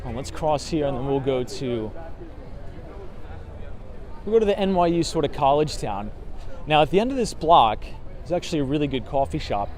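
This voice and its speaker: Hoarse man chatting on a city walk. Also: on the high side, animated, moderate pace, long pauses, darkish tone. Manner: chatty, filler-heavy, frequent "right?". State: hoarse, losing his voice. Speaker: vlogger talking markets on a city walk. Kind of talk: casual livestream chat, reading viewer comments. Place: outdoors on city streets and in a park. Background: traffic sounds.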